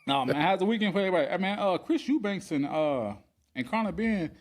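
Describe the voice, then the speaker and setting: A man's voice: quick pace, slight background noise. A man co-hosting a boxing podcast in casual, joking chat. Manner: joking, lively, drops into a deep put-on voice. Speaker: podcast co-host.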